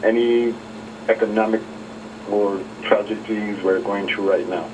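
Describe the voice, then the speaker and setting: A man phoning in to a talk show, speaking in a hesitant, halting way. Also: lowish voice, fairly even intonation. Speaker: phone-in caller. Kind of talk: casual, halting call-in remarks. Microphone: telephone. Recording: muffled, noisy, distant phone call.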